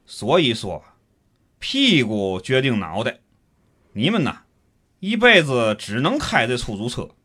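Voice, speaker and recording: A man's voice: medium pitch, sing-song, unhurried, long pauses, pushed and bright, breathy edge, exaggerated voice. A man with a Tianjin accent acting a grumbling, pompous city schemer in a comic audio drama, theatrical and colloquial. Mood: pompous, scheming.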